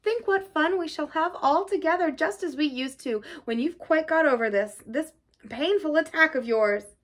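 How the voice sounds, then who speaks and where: A woman's voice: high voice, lively intonation, moderate pace. A woman voicing a sceptical male rat in a storybook, a loyal friend with a dry, knowing manner. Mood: kindly.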